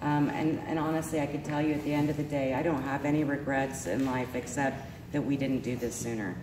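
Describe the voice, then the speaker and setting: A woman's voice: extremely low pitch, fairly even intonation, a little fast. A woman, stuffy from allergies and a little sore-voiced, giving an earnest parent testimonial with many ums. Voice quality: slightly sore voice. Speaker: parent speaking at a school event. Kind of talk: personal testimonial speech. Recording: echoey hall, speaker far from mic, noisy.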